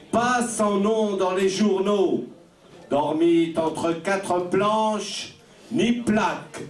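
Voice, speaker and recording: A man reciting slam poetry at a live session. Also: fairly high pitch. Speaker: slam poet. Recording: echoey room, distant microphone.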